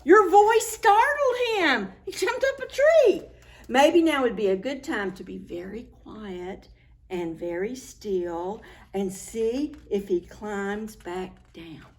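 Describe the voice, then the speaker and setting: Slow, high-pitched woman reading to preschoolers. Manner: sing-song, addressing children directly, expressive. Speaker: children's librarian hosting preschool story time. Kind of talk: read-aloud picture book storytelling for young children.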